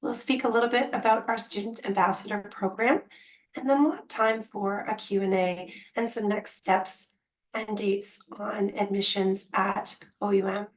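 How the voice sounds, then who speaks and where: A woman's voice: medium pitch, steady tone, low-quality recording. Woman speaking carefully with a strained voice. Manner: careful, deliberate enunciation. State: losing her voice. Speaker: associate dean hosting a webinar. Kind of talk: presenting an online information session. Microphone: video call.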